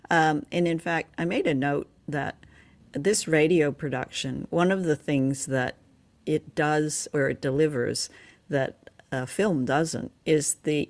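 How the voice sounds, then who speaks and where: Woman co-host chatting reflectively. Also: low-pitched. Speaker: podcast co-host. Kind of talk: casual film and radio discussion.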